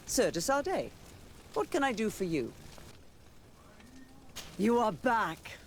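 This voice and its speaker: High-voiced, lilting courtly dignitary. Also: male voice, sing-song, slow pace, a little hiss or hum, exaggerated voice. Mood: welcoming. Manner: formal, ornate courtesy. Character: courtly male dignitary, gracious and grateful ruler. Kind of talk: video game cutscene acting.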